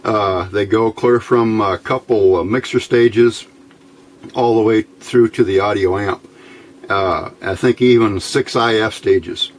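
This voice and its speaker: A man giving an informal, somewhat halting hands-on electronics demonstration as the host. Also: fairly low pitch. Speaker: host of electronics tinkering videos. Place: indoor workbench.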